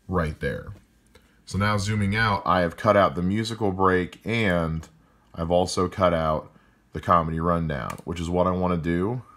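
A man with a loud voice calmly walking through how he edits a podcast episode, step by step. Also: sits low. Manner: calm step-by-step explaining. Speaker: podcast editor. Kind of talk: explaining a screen-recorded editing walkthrough.

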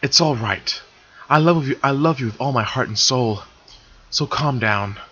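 A man's voice: medium pitch, very animated, moderate pace, sharp tone, dull audio, a little hiss or hum. A man voicing a male pony, affectionate and teasing, in a sly manner close to his own voice. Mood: tender.

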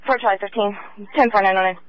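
A man's voice: high-pitched, fairly even intonation. A man working as a police dispatcher, giving terse radio codes over a radio channel. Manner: fast, clipped radio codes. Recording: lo-fi radio transmission.

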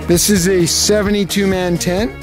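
Joking dad filming a campsite. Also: male voice, sits high, level tone, moderate pace, music in the background. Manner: jokey, playful. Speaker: vlogger dad. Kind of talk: casual family vlog narration. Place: outdoor wooded campsite. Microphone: handheld camera at arm's length.

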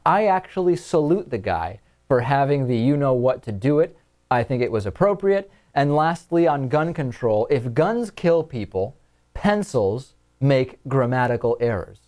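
Mellow young American man hosting talk. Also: mid-range voice, very animated, moderate pace. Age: 20s. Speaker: talk show host. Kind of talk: political talk show presenting. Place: small TV studio, indoors.

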